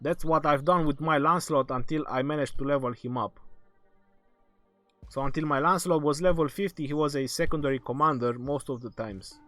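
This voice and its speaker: Male streamer, fraying hoarse voice, slightly high, casual Q&A host. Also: fairly quick, a bit bright, no room sound. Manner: reads viewer questions aloud then answers, laughs often. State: hoarse, losing his voice. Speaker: game livestream host. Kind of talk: casual live Q&A reading chat questions. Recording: faint background music.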